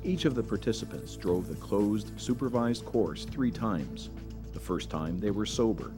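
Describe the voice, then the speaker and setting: A man giving measured, earnest scripted narration for a safety documentary. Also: lowish voice, expressive. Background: background music.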